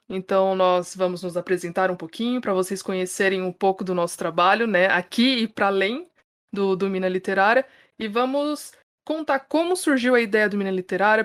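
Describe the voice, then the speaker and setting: Brief: thirtyish São woman co-host, mid-pitched, talkative and friendly with the audience. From São Paulo, Brazil. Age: 30s. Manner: chatty, laughs often, frequent tag questions. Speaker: podcast co-host. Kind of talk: casual podcast chat.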